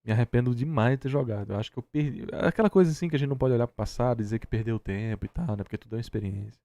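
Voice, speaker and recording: Male Brazilian reactor, mellow tone, close and dry, bluntly opinionated. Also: mid-range voice, very fast, dry recording. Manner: blunt, argumentative, repeats himself for emphasis. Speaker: reaction stream host. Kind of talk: casual reaction commentary.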